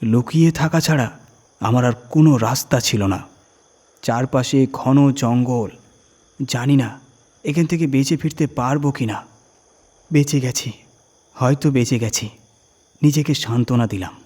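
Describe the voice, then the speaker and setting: A man with a husky voice, narrating a scary first-person story with suspenseful, deliberate phrasing and dramatic emphasis. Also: mid-range voice, a little mellow.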